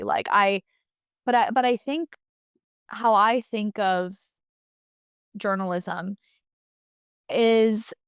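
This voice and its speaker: Woman in her twenties, American, interview guest, thoughtful, lots of "like" and "you know", mid pitch, dry close mic. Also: clean tone, no echo. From United States. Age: 20s. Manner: thoughtful, many fillers and restarts. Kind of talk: reflective interview answers.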